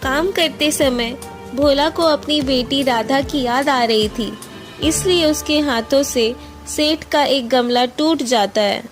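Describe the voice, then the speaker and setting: A woman's voice: high voice, slow, faint music behind. A woman reading scripted narration for a moral story as the storyteller.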